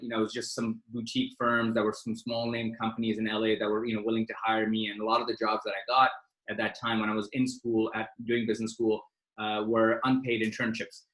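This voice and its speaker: A man's voice: mid-range voice, fairly bright tone, reverberant, poor audio. A man from Pakistan hosting a career webinar for students, talking informally and directly with plenty of fillers. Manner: informal and direct, lots of fillers, addresses listeners as "you guys". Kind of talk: casual, interactive online presentation.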